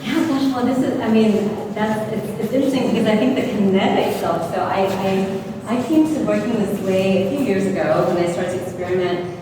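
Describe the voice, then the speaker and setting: A woman's voice: mid-range voice, a little fast. A woman artist in a gallery conversation, speaking in a searching, hesitant way with many fillers. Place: gallery space, indoors. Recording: echoey hall from far off, noisy.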